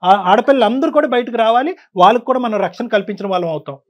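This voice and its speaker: Fast-talking, emphatic woman counsellor. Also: a little high, lively intonation, highs cut off. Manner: emphatic, exhorting. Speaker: counsellor on a talk show. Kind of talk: forceful counselling advice.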